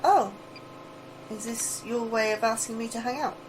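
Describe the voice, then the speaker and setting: An actress playing an anxious, curious scientist in a sci-fi audio drama, earnest, awkward and a little hesitant. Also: female voice, on the high side, fairly even intonation, moderate pace, noisy background, lightly acted. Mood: awkward, friendly. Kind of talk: audio fiction acting.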